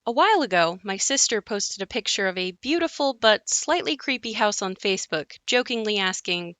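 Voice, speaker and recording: Woman narrator played by an actress, audio drama monologue, reflective, measured storytelling, mid pitch, dry recording. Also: animated, a bit bright, dry recording, close to an everyday voice. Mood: wry, reflective. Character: adult woman narrator recalling her haunted childhood home. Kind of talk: scripted audio play monologue.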